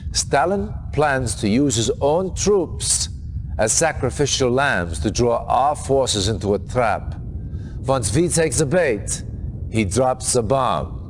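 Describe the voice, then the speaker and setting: Grave, emphatic military commander. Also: male voice, medium pitch. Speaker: military briefing officer. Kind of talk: dramatic game cutscene briefing. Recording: noisy 1990s game cutscene audio.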